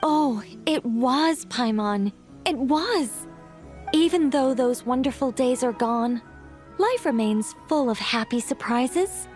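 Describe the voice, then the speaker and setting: A gentle former-angel witch for a video game. Her voice is high, and she speaks like a proud, theatrical storyteller, lyrical and polite, over soft background music. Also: expressive, slow. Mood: wistful.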